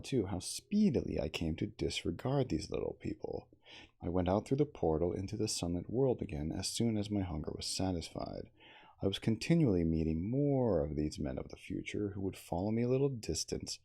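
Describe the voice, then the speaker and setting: A man playing a dignified starship captain, reading a classic novel aloud in a measured, theatrical ASMR audiobook style. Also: deep voice, vocal fry, dark tone, husky, full character voice. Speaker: cosplayer reading aloud as a character.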